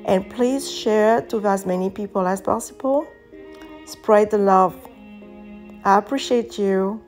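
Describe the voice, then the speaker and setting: A woman with a French accent reads her own poetry aloud, unhurried and unforced. Her voice is soft and reflective, at an everyday pitch. Faint music plays quietly behind her. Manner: gentle, reflective, soft-spoken. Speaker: poet reading her own work. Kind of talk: slow poetry reading.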